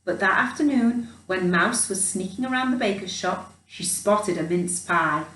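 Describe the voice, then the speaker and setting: A woman reading a children's picture book expressively, in an animated storyteller's manner with chatty asides. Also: mid-range voice, normal speed. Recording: roomy echo, distant microphone.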